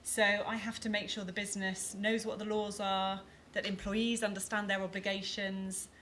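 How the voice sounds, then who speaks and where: A woman speaks in an organised, formal meeting-chair manner as she plays a data protection officer for a conference role-play. Her pitch is mid-range for a woman. Faint room noise sits behind her. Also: little pitch movement, moderate pace, fairly bright tone. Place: conference hall.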